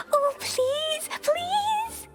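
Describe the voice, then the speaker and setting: Tiny earnest little girl for a video game, super high voice, slow childlike talk, music behind. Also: expressive, heavily acted. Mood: determined pleading. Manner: childlike, refers to herself by name, hesitant reading. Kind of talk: video game character voice acting.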